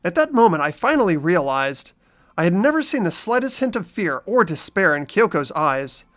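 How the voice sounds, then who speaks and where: A man's voice: sits high, very animated, normal speed, a bit bright. A man voicing an earnest, anxious teenage schoolboy who narrates his own story in a visual novel game, expressively. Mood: reflective narration.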